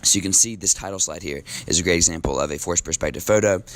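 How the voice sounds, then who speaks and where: A man teaching a recorded classroom lesson while losing his voice, talking in a relaxed, casual way with frequent ums. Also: sits low, fast talker, slight background noise.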